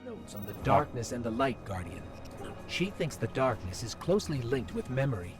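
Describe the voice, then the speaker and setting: An actor voicing a small, curious, uneasy robot companion in a video game, chatty and full of questions. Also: male voice, medium pitch, big pitch swings, normal speed, over music, lightly acted. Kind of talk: scripted video game dialogue.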